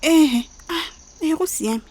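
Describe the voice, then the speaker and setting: An actress with a Botswana accent playing a disapproving, animated woman in a radio drama. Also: high-pitched, slow pace.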